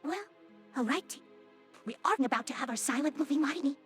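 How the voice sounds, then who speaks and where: A woman's synthetic voice acting a brisk pony nurse from a cartoon audio drama. She is quite high in pitch and politely announces things, with music underneath. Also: lively intonation, a bit bright. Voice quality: synthetic text-to-speech voice. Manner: courteous, announcing.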